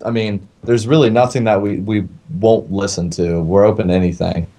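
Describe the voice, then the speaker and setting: A male band roadie in casual interview chat. His voice is on the low side and he talks informally. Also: fairly even intonation, normal speed, mellow. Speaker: band's roadie and tech, interview guest.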